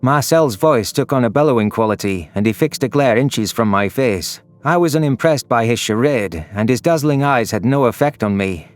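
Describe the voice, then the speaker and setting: A man reads first-person science fiction narration in a medium-pitched voice. Faint music plays behind his scripted storytelling. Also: expressive, moderate pace, hardly any pauses. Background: background music.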